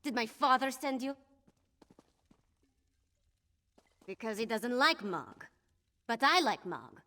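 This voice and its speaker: A defiant tavern-going princess from a fantasy game. Her voice is on the high side, and she is forthright and saucy. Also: lively intonation, normal speed, sharp tone. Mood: indignant. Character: bold, defiant young princess with rough tavern friends. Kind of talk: fantasy video game character acting.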